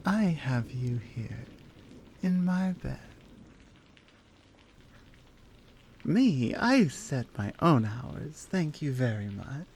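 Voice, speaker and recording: Woman acting as a confident flirty lioness, low-key mellow tone, narrow pitch movement, breathy, teasing, intimate ASMR roleplay, faint noise. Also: expressive, full character voice. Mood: flirty, relaxed. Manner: breathy, flirtatious, unhurried, drifting into whispers.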